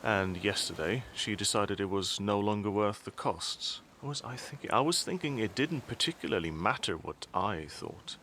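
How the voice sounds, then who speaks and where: Man playing a low-voiced, sardonic elder statesman. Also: expressive, sharp tone, a little hiss or hum. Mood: dry, sardonic. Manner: measured, formal, dry wit. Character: composed, sardonic father and statesman, dryly unbothered. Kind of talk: audio drama acting.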